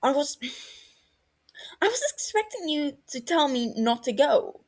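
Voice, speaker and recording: A woman's voice: high voice, big pitch swings, measured pace, pitched above the performer's own voice. A woman voicing an anxious, prickly young man who gets defensive and exasperated, raising his voice and stammering when upset.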